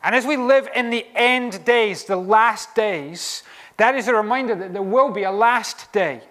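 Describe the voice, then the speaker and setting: A Scottish man preaching a sermon in an animated, conversational way, with wry asides. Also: high voice, unhurried. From Scotland.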